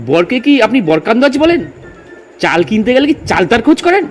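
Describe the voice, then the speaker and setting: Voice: medium pitch, sing-song, quick pace, slight background noise, lightly acted. A performer in a comic audio drama playing cantankerous, boastful village men who quibble pedantically and argue emphatically. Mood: indignant, scolding. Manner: argumentative, emphatic, rambling lists.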